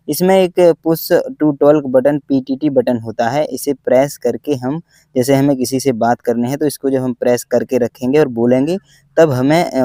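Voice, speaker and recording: A man's voice: medium pitch, mellow, no room sound, studio quality. A man giving a plain, step-by-step explainer to viewers as a vlog host. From India. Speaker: host, railway pointsman vlogger.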